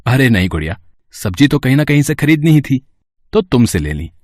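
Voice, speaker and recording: A man's voice: mid-range voice, big pitch swings, brisk, darkish tone, no room sound, clean studio sound. A man playing a kind young man in an audio story, caring and reassuring like a big brother. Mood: kind, warm. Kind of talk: acted audio story dialogue.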